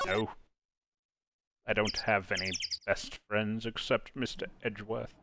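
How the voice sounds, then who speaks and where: A woman voicing a blunt, sarcastic young male lawyer with deadpan delivery in a live game fan dub. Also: very deep voice, unhurried, heavy background noise. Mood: irritated, dismissive. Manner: deadpan, foul-mouthed. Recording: loud game music behind the voice.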